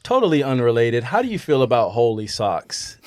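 A man's voice: mid-range voice, very animated, brisk, a little mellow. A man hosting a call-in segment on a comedy podcast, joking and teasing. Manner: playful, teasing, laughs a lot.

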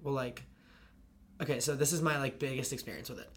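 A young woman with a deep, raspy voice, a college lacrosse player and video creator, rambling fast in casual podcast chat with constant fillers. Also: steady tone. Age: young adult.

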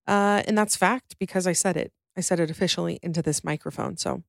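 A woman's voice: fairly low pitch, a little fast, gravelly, no echo, clean studio sound. A woman podcast host reacting to listener voicemails in a chatty, wry and joking way. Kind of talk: casual chat reacting to voicemails.

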